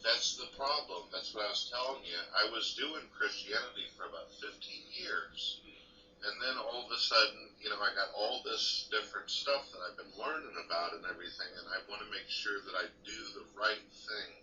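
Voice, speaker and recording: A man's voice: lowish voice, normal speed, pushed and bright. A man calling on the phone, talking conversationally in an earnest, insistent way. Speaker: phone caller. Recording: distant, echoey, noisy lo-fi phone recording.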